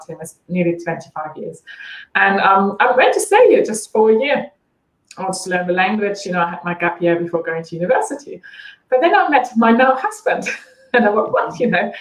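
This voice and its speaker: A woman's voice: mid-range voice, roomy sound, low-quality recording. A woman in her forties from East Germany with a German accent, chatting and laughing as a guest on an interview show. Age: 40s. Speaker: guest, branding coach. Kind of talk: casual interview chat.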